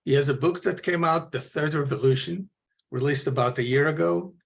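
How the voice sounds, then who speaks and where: Gravelly-voiced man introducing a speaker. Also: on the high side, fairly quick. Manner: earnest, with frequent fillers and restarts. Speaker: forum moderator. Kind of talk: moderator's introduction.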